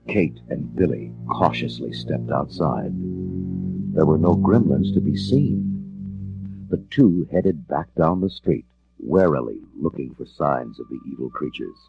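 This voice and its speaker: Very deep, gravelly male storybook narrator. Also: lively intonation, measured pace, dull audio, music in the background. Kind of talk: dramatic children's storybook narration.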